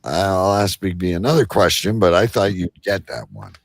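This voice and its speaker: A man hosting a bantering comedy quiz, halting as he sets up questions, then reading them out emphatically. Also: low voice, sing-song, no echo. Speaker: quiz host.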